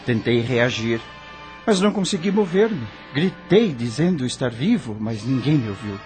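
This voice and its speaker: A man's voice: medium pitch, expressive, moderate pace, darkish tone, lightly acted. Man acting a tormented dead rocker, music underneath. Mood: anguished. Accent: Brazilian. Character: dead rock star recounting his burial, tormented, regretful. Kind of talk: dramatic radio drama monologue.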